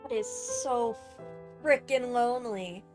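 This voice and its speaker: A woman giving casual let's-play commentary, informal, halting and opinionated. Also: on the high side, over music. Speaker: game streamer.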